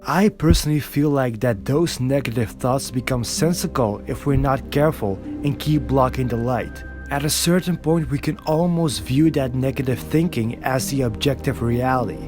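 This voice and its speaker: A man's voice: mid-range voice, normal speed, hardly any pauses, over music. A man reading a scripted voiceover for a video essay in an earnest, reflective way, recorded alone.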